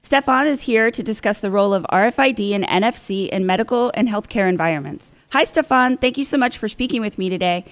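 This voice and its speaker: A woman's voice: medium pitch, quick pace, few pauses. A woman hosting a podcast interview, delivering prepared introductions and questions. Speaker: podcast host.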